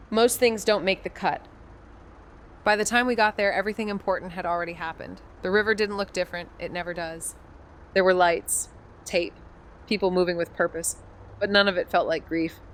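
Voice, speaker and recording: A woman's voice: on the low side, fairly even intonation, fairly quick, slight background noise, close to an everyday voice. A woman playing an anxious young amateur detective and narrator in a modern noir audio drama. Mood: somber, numb. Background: music underneath.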